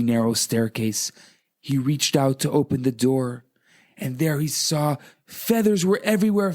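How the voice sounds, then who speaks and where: A man speaking as a rabbi on radio, in a dramatic, inspirational storytelling style, deliberate and emphatic. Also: medium pitch. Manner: deliberate, emphatic, repeats phrases for effect.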